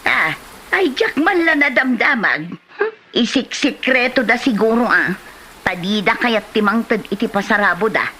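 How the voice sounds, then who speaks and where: A woman acting a gossipy, nagging woman in an Ilocano comedy drama. Her voice is high and sharply bright, and she chatters with exclamations, over faint noise. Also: lively intonation, normal speed.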